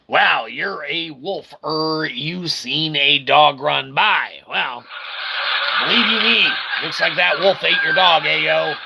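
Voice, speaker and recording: Slow, gravelly man doing goofy game commentary. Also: fairly high pitch. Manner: goofy, wordy catchphrases and mock-formal asides. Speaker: video game streamer, reviewer. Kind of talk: casual gameplay commentary. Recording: very noisy, game sound behind.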